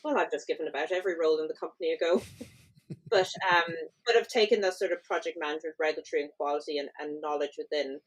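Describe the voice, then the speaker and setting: An Irish woman in her thirties speaks with a fairly low voice. She is a chief executive with a brisk, good-humoured way of talking in a podcast interview. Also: fairly even intonation, low-quality recording. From Ireland.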